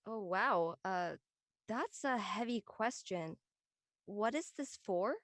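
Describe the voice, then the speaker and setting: A woman's voice: medium pitch, lively intonation, slow pace, a bit bright, dry recording, studio quality. A woman playing an easygoing adult aunt in a naturalistic live script reading. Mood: hesitant, reflective. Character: easygoing adult aunt recalling her college years. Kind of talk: naturalistic live table-read acting.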